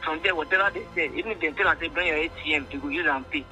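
A man's voice: on the high side, rapid-fire, pushed and bright. A man with a Nigerian accent talking earnestly in a mix of English and Pidgin, pleading and emphatic, often repeating his points. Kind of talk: earnest phone conversation in mixed English and Pidgin. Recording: phone call with music behind.

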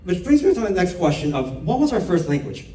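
A man's voice: high-pitched, big pitch swings, brisk, dark tone. A young man from Korea giving a playful stage talk to a live audience and asking the crowd questions. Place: dark theater stage with an audience. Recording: roomy stage sound heard from far off.

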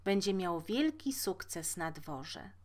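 A woman's voice: lowish voice, very animated, slow pace, a little mellow. A woman voicing a pompous, fussy male court marshal in a fairy tale, with a flowery manner. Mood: gushing, ceremonious. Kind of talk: fairy-tale storybook character voice.

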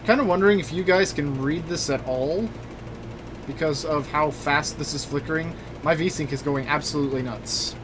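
A gaming video host giving casual, rambling, joking commentary, excitable and given to swearing. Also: mid-range voice, normal speed, background noise. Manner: excitable, with bursts of exclamation. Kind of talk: casual let's play commentary. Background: loud video game music.

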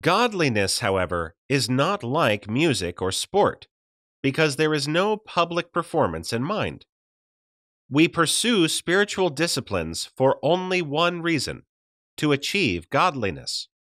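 Male narrator for faith-based study audiobook: measured, animated intonation, very dry close studio sound. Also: a little high, dry recording. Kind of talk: scripted narration of a religious study book.

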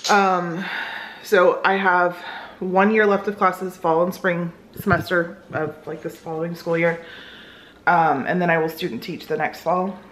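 A woman's voice: on the low side, steady tone, measured pace, a bit bright, a little hiss or hum, poor audio. A woman in her thirties from the United States, chatting casually in a vlog with lots of ums and likes while cleaning her home kitchen. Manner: rambling, many ums and likes. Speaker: vlogger. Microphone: phone at arm's length, later far camera.

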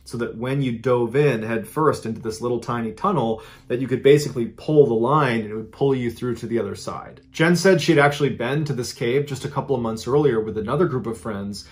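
Middle-pitched man in his thirties, host of a storytelling show, speaking straight to camera from a studio. He tells the tale in a casual, lively way, leaning on dramatic beats. The delivery is steady and conversational. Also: fairly quick, few pauses. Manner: animated, conversational retelling with dramatic emphasis. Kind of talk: true-crime storytelling to camera. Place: indoor studio with a green-screen backdrop.

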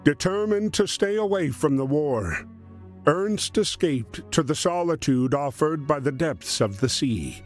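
A man narrating a wartime history game as a solemn storyteller, in measured scripted delivery. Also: a little high, expressive. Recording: music behind the voice. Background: music.

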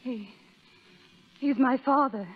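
An actress playing a devoted, anxious young wife in 1930s radio melodrama, tender and pleading. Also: female voice, high-pitched, takes it slowly. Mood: desperate. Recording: dull, hissy 1930s radio broadcast.

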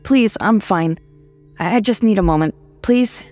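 A woman's voice: medium pitch, measured pace, darkish tone, soft background music, pitched above the performer's own voice, natural voice. Woman acting a hot-headed young female student. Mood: shaken.

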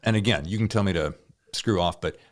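Male interviewer, middle-aged, low register, candid and colloquial, conversational podcast delivery. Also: fairly quick.

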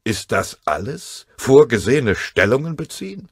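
A man's voice: mid-range voice, sing-song, normal speed, gravelly, husky, dry recording, pitched above the performer's own voice, full character voice. A man voicing a defiant, indignant army officer in a pressing, challenging manner for a war audiobook.

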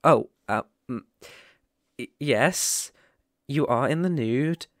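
A British man playing a gentle, protective lone werewolf in an ASMR roleplay, speaking haltingly and reassuringly into a close microphone. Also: medium pitch, no echo, lightly acted. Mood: flustered, reassuring. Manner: soft-hearted, reassuring, halting and pausing. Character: gentle, protective male lone werewolf living in a forest cabin.

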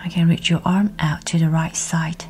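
A woman leading a scripted guided meditation in a hushed, breathy, near-whispered voice, soothing and measured. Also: low voice, level tone, soft background music.

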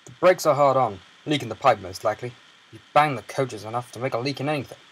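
A man's voice: mid-range voice, quick pace, slightly rough, close to an everyday voice, rough recording. A man playing stern, practical railway workers in a children's storybook, brusque and matter-of-fact. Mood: practical, gruff. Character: stern practical railwaymen, guard and engine driver. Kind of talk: children's audio storybook character acting.

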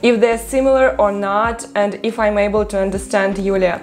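A young woman with a Russian accent hosting a casual video call chat from a small room, curious and quick to laugh. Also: mid-range voice. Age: young adult. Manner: curious, laughs often, reads words slowly. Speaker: host. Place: small home room, indoors.

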